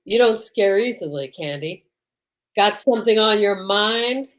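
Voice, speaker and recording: A woman's voice: mid-range voice, expressive, a little slow, fairly bright tone, slight background noise. A woman playing a suspicious, needling male police detective in an old-time radio drama, dry and terse. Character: gruff, suspicious male police detective, fond but bossy.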